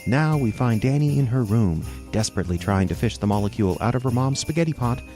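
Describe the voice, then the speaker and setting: Lively male children's story narrator over music. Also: medium pitch, fast talker. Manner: storyteller, addressing young listeners directly.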